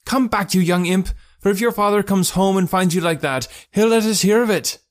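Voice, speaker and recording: A man's voice: fairly high pitch, animated, fairly quick, darkish tone, voice pushed up. A man voicing an anxious, talkative working-class English wife in an audiobook, folksy and deferential. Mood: scolding. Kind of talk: audiobook character voice.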